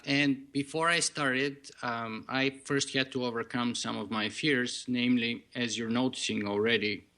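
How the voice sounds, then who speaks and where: Bright-voiced man with a thick Bulgarian accent. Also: medium pitch, steady tone, normal speed. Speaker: podcast guest. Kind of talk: interview, telling his story.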